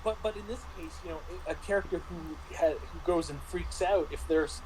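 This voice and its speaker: A man in a casual tabletop roleplaying discussion, speaking in a halting, explanatory way as a game master and panelist. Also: a little high, background noise.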